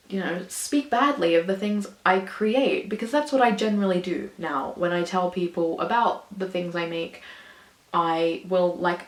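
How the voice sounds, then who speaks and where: An Australian woman with a fairly low voice talks straight to the camera in a meandering, self-conscious monologue. She hesitates often and leans on fillers as she searches for words. The sound bounces around an echoey room. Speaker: vlogger. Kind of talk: reflective personal monologue.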